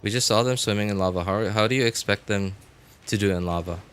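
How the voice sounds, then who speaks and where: A man giving casual live gameplay commentary, thinking aloud in a halting way with sarcastic asides. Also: on the low side, a bit bright, smooth. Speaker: game streamer.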